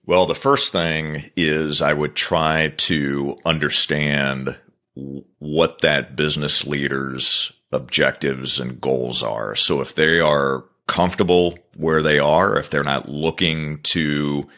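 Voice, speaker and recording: A man answering as a guest on a business podcast, earnest and measured, building his points in repeated phrases. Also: a bass voice, fairly even intonation, pushed and bright.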